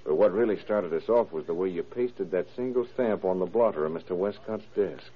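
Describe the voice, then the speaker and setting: An actor playing a shrewd, calm police detective in a 1930s radio crime drama, gruff, wry and commanding. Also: male voice, deep voice, fairly quick. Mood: wry, stern. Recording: muffled old radio broadcast recording.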